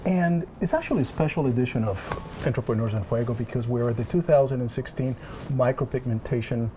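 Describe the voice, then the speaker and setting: A man's voice: medium pitch, fast talker, mellow, a little hiss or hum, low-quality recording. A middle-aged man hosting an interview at a conference, chatty and effusive, often restarting his sentences. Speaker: interview host. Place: conference room, indoors.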